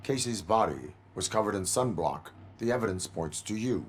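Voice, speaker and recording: A man playing a stoic cyborg policeman in a video game, speaking in a formal, clipped, deadpan way. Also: on the low side, normal speed. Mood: stern. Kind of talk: video game cutscene acting.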